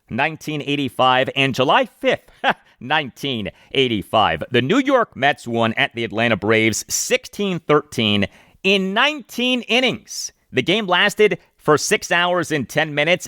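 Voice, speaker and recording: An American man hosting a sports podcast solo, speaking in emphatic, announcer-like, deliberately phrased monologue. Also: fairly high pitch, very animated, a bit bright, dry recording, studio quality. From United States.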